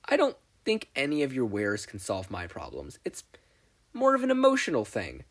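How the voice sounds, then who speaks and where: A man's voice: medium pitch, wildly swooping pitch, moderate pace. A man voicing an earnest, hesitant teenage boy who talks politely in a story reading. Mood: uneasy.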